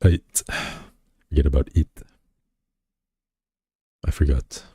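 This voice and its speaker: A man with a very deep, dark voice chats loosely with his stream audience, reading their comments back to them. He rambles, restarts his sentences, and pokes fun at himself. The husky sound is dry and close to the mic. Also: steady tone, slow pace, no echo. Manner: rambling, self-deprecating, many fillers and restarts. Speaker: VTuber streamer. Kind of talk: casual live-stream chat, reading chat aloud.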